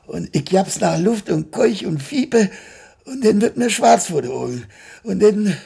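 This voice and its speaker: Radio play: chatty older Berlin tradesman, rough fry in the voice, plain-spoken and dramatic. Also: sits high, expressive, unhurried, dark tone, exaggerated voice. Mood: agitated complaining. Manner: colloquial, rambling, dramatic storyteller. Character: chatty, stubborn, plain-spoken older retired baker, a hospital patient. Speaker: patient. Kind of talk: German radio play acting.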